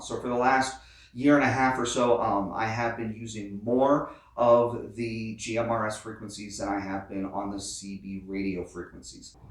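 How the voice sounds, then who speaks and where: A middle-aged man giving a casual explainer as host of an off-road how-to channel. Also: medium pitch. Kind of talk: casual explainer talk to camera. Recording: very echoey, distant from the mic.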